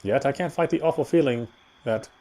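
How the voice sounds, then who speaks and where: A man's voice: medium pitch, brisk, mellow. A man reading a horror visual novel aloud as a dramatic first-person narrator.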